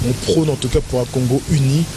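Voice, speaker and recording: A man's voice: mid-range voice, steady tone, fast talker. A man in a field interview, laying out his points in order. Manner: structured, counting his points. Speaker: interviewee, supporter. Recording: noisy outdoor recording.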